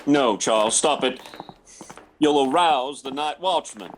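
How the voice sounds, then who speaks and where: A man playing a sly, evasive older man in a radio drama, halting and patronizing as he betrays a younger friend. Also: medium pitch, slight background noise, lightly acted. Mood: evasive, stern. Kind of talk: old-time radio drama acting.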